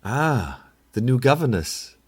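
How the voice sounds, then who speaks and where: A man's voice: medium pitch, sing-song, takes it slowly, husky, full character voice. A man playing a kindly, bashful German professor in an audio play, speaking with a German accent in a hesitant, polite way. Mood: friendly. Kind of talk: audio drama acting.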